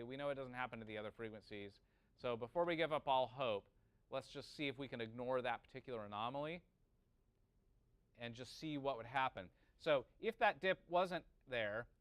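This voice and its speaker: Guy teaching a class, clean smooth voice, dry sound, thinks out loud and keeps asking little check questions. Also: mid-range voice, no echo. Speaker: teacher of audio engineering. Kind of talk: informal classroom lesson, working through a calculation aloud.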